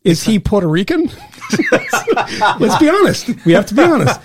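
A man's voice: high voice, big pitch swings, normal speed, noisy background. A man hosting a podcast, joking, teasing and rambling through a casual chat. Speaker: podcast host.

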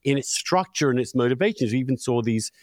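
Male podcast host, middle-aged, emphatic and opinionated, very lively intonation, close dry recording. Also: mid-range voice, quick pace, no echo. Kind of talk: conversational podcast discussion.

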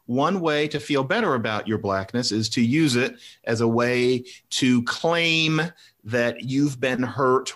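A man's voice: medium pitch, fairly bright tone. A middle-aged man talking through ideas and telling a personal story in a conversational discussion, voice rising sharply when he makes a point. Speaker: commentator.

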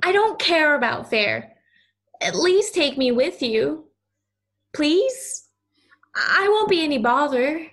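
Audio play: actress as kind little girl, high pitch, unhurried, sincere and slightly hesitant. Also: very animated, a bit bright, exaggerated voice. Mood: pleading. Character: kind, earnest young girl befriending a mayfly.

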